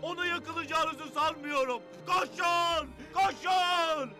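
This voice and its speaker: Shrill, frantic desert messenger. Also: male voice, slow, over music. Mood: frantic alarm. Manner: shouting, crying out urgently. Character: panicked male desert messenger raising the alarm. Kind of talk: animated cartoon dub acting.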